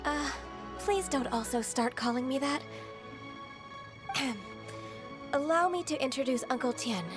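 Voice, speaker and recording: An actress playing a gentle, modest and dutiful female secretary in a video game, speaking politely and earnestly. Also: high voice, a little slow. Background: faint game music.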